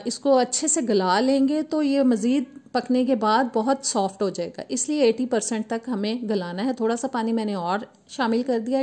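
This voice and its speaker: Woman with a mellow, slightly high voice, steady cooking voice-over. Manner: steady step-by-step instructional tone. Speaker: cooking channel host. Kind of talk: recipe voice-over narration.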